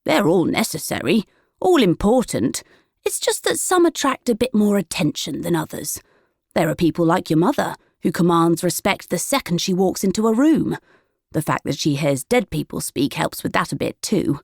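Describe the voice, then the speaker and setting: A woman voicing a gentle father kindly explaining things to his child in a storybook. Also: on the low side, expressive, moderate pace, creaky, husky, no room sound, studio quality, exaggerated voice. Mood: kindly earnest.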